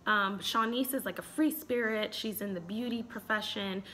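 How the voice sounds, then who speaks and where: A woman in her thirties with an American accent, talking in a casual, expressive way as a relationship expert giving a review. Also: mid-range voice, normal speed. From Chicago, United States. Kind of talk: casual vlog commentary. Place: small room indoors at home.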